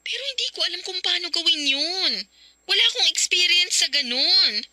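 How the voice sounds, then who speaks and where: A woman's voice: extremely high pitch, lively intonation, normal speed, pushed and bright, no room sound, exaggerated voice. An actress playing a hesitant, flustered married woman in a radio drama. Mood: flustered, reluctant. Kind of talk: Filipino radio drama acting.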